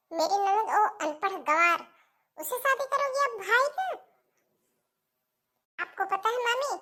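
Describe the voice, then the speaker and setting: Woman voicing a shrill, spiteful sister-in-law. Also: bright, forceful tone. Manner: accusing, taunting, indignant. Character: spiteful, scornful married sister-in-law, Pooja. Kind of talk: melodramatic Hindi cartoon family drama.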